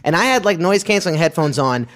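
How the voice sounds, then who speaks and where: Animated young man telling stories. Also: a little high, fast. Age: 20s. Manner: animated storyteller, laughs often, acts out quoted voices. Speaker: podcast co-host. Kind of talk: casual podcast chat.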